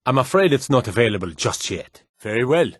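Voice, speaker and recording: An actor playing a stern, dutiful fantasy lord and soldier in a video game, speaking in a formal, measured, authoritative way. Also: male voice, medium pitch, big pitch swings, fairly bright tone, no echo, studio quality. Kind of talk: video game character dialogue.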